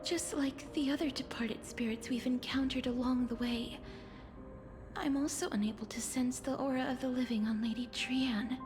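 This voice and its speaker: A woman playing a gentle, polite and earnest young priestess in an anime-style video game, with tender, formal phrasing. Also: high voice, steady tone, moderate pace, close to an everyday voice. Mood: solemn, tender. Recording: game music behind the voice.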